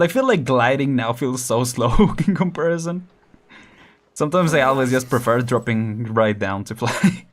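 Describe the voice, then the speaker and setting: A streamer giving casual let's-play commentary, chatty and laughing often while thinking aloud. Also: medium pitch.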